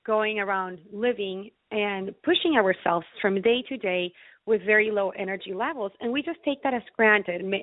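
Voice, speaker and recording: A woman from Ecuador, explaining health topics conversationally as an interview guest, in an earnest, deliberate way. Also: medium pitch, dry recording, narrow, tinny audio. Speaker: interview guest, naturopathic physician.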